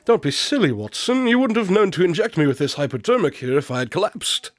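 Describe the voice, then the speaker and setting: Radio drama detective-inventor male actor: pompous, formal, calmly superior, higher-set voice, close dry sound. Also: quick pace, dry recording. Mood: dry, breezy. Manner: formal, precise, pompous diction.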